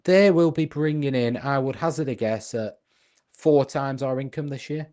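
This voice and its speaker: A man co-hosting a casual football podcast, reasoning his points out loud with frequent restarts. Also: medium pitch, normal speed. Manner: earnest, reasoning aloud with restarts.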